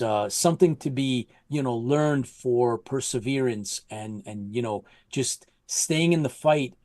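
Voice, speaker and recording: A man's voice: mid-range voice, darkish tone, no echo, studio quality. Rambling man hosting a live show. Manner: rambling, lots of fillers and restarts. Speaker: show host. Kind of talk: casual livestream chat.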